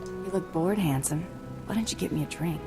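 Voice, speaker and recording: An actress playing a wry, tough bounty hunter in a video game, terse and sardonic. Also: female voice, on the low side, fairly quick, close to an everyday voice. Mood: flirtatious, wry. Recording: prominent background music under the voice.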